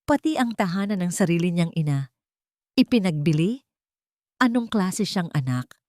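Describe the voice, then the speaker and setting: A woman voicing an outraged, sharp-tongued older neighbor in an audio story, emphatic and trembling with anger. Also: on the low side, big pitch swings, moderate pace, dry recording, studio quality. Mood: indignant outrage. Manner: emphatic, indignant.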